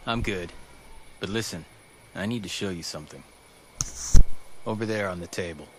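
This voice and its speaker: An actor playing an earnest, helpful informant in a video game cutscene. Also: male voice, low voice, moderate pace, fairly bright tone, a little hiss or hum.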